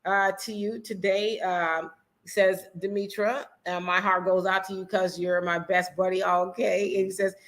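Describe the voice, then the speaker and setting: A middle-aged American woman hosting a casual chat, reading viewer comments aloud in an animated way. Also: medium pitch, a little slow. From United States. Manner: animated, laughs often, reads comments aloud. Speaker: host.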